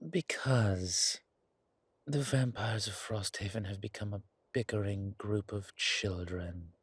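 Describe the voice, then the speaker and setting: A weary old vampire lord, acted by a man for an audio drama. The voice is low and husky and moves slowly, with dry sardonic wit. It sounds close and dry, with old-fashioned formal phrasing. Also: no room sound. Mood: weary disdain. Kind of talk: dramatic audio roleplay acting.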